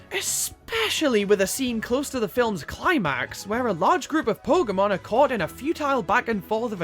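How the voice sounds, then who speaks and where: A woman's voice: on the high side, fairly bright tone. A woman delivering scripted video essay commentary as a reviewer, opinionated and emphatic in a casual way.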